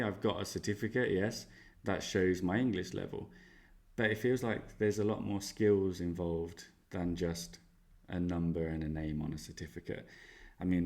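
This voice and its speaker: Young male student, Spanish accent, cheerful, self-mocking, deep voice, put on by a man. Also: darkish tone. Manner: upbeat, jokey, boastful asides. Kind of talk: role-played exam interview answers.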